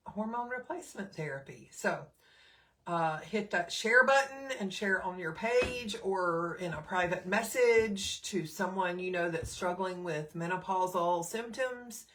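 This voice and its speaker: A middle-aged woman hosts a casual live stream, her throat still a little scratchy. She talks in a fairly low, relaxed voice, chatting along with plenty of fillers and pausing to greet viewers by name. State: slightly scratchy throat, clearing it often. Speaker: nurse and health educator hosting a live stream.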